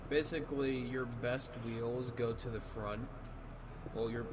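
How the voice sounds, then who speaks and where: A man's voice: mid-range voice, steady tone, measured pace, over music. A man chatting while gaming with a friend, joking crudely and swearing. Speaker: gaming partner. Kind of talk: casual gaming chat. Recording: clear background noise.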